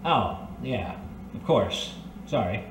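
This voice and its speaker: An earnest 1930s gumshoe, played by a male actor, polite and hesitant, with a mid-pitched voice that moves up and down a lot. The radio drama acting sounds roomy and slightly far from the mic. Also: sing-song, slow. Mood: probing, earnest. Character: earnest, awkward 1930s private eye, a reformed thief. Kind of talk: old-time radio detective drama acting. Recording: roomy, distant recording with faint noise.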